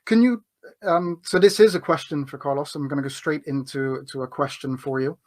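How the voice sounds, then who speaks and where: Halting male debater, slightly high voice. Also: a little mellow. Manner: hesitant, many fillers and restarts. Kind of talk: debate argument.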